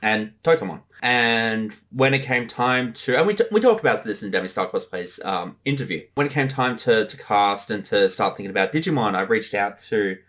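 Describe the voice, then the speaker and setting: Very lively, chatty commentator with mid-range voice. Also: mid-range voice, normal speed, few pauses. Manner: animated, breaks into quoted voices. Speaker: show creator giving commentary.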